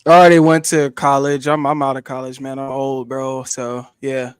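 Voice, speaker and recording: A man's voice: mid-range voice, level tone, normal speed, clean tone, no room sound, clean studio sound. A man hosting a stream in casual debate, making his points insistently and repeating himself. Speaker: stream host.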